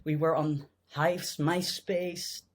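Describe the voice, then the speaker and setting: A man in his thirties with a Dutch accent, a guest in a casual interview, speaking English as a second language with halting, hesitant phrasing. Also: a little high, little pitch movement. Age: 30s. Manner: hesitant, many fillers and restarts.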